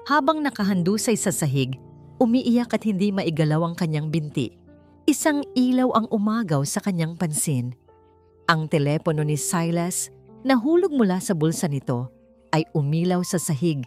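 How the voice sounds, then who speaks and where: A woman with a Filipino accent narrating a scripted romance story in a dramatic, deliberate way. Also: lowish voice, sing-song, darkish tone, soft background music.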